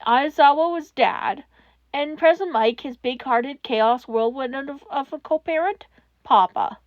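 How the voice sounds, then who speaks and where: A woman reading a fan fiction story aloud in expressive scripted narration. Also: high-pitched, measured pace, no room sound, muffled recording.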